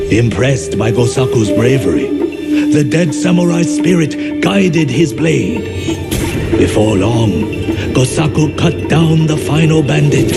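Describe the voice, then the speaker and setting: A male village storyteller from a samurai game. He speaks slowly and gravely, drawing out the drama of old legends with deference to his lord. Strong music plays behind his medium-pitched voice. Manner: measured, solemn, dramatic storytelling with trailing deferential endings. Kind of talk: scripted legend narration, game voice acting. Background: prominent music.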